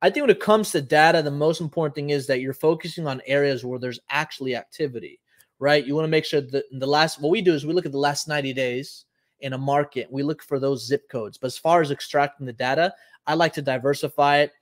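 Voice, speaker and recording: A man's voice: fairly high pitch, fast talker. A man in his thirties from Puerto Rico, hosting a live show and explaining casually while thinking aloud with frequent ums. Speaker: host. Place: home office, indoors.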